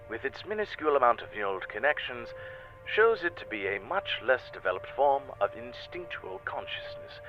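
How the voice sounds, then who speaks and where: An actor playing a pompous, precise nature documentary narrator heard through a television speaker. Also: male voice, medium pitch. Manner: grandiose, precise. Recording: dull television sound, faint music.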